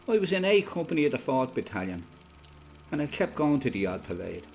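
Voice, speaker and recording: A male actor plays an older Irish father from Dublin in a radio drama. He is a plain-spoken veteran who talks like a folksy storyteller, with a medium-pitched, expressive voice that rises and dips freely. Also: close to an everyday voice. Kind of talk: radio play acting, conversation and reminiscence.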